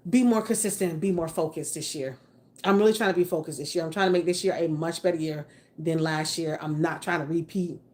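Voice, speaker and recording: A woman's voice: on the low side, darkish tone. A woman in her late forties chats live to her viewers, frank and emphatic, now and then swearing for effect. She keeps it casual and conversational, picking up comments as they come in. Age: 40s. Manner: chatty, reads out and answers viewer comments; frank, mildly profane, emphatic. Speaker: livestream host. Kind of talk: casual livestream chat.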